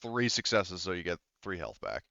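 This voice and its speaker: A man running a tabletop roleplaying game as game master, narrating off the cuff and haltingly thinking aloud. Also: low voice, very animated, vocal fry, bright, forceful tone, dry recording, muffled recording.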